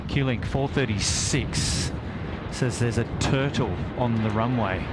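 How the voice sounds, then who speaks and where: Australian man live-streaming over loud airfield noise. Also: mid-range voice, slow pace. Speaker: live stream host, plane spotter. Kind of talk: casual live commentary. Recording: loud outdoor airfield ambience behind voice.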